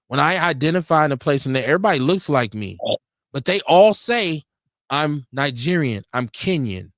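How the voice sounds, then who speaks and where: A man's voice: fairly high pitch, dry recording, clean studio sound. A middle-aged American man giving opinions in casual discussion, emphatic and repeating phrases to drive points home. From United States.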